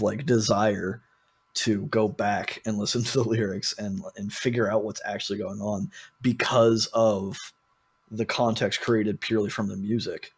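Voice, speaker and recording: Low, gravelly male podcast co-host. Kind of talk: casual music discussion.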